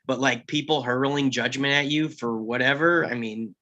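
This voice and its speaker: A man's voice: medium pitch, fairly even intonation, normal speed, pushed and bright, muffled recording. A man in casual discussion, talking in a rambling, hedging way peppered with "you know". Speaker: table-read panelist.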